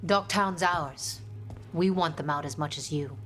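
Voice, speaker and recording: A defiant female adventurer in a fantasy game. Her voice sits fairly low, with a direct, wry challenge in it. Music is clearly audible behind her. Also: unhurried, fairly bright tone. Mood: distrustful. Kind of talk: video game drama acting.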